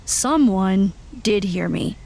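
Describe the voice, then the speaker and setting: An uneasy young wanderer tells their own story slowly and warily. The voice is plain and creaky, in audio drama narration. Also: female voice, mid-range voice, lively intonation, noisy background. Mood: wary, recounting. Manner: plain, halting, wary.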